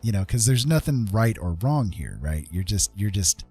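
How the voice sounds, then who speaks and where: A man's voice: lowish voice, sing-song, moderate pace, dark tone, husky, faint music behind. A man talking casually and informally through a live digital art tutorial, often checking in with small questions as he goes. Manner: easygoing, informal, tags points with check-in questions. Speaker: digital art streamer and instructor.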